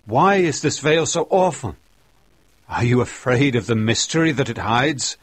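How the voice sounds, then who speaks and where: A man voicing a stern, defiant old male minister on his deathbed, speaking in loud, accusing outbursts. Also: mid-range voice, animated, measured pace, slightly rough, dry recording, pitched above the performer's own voice.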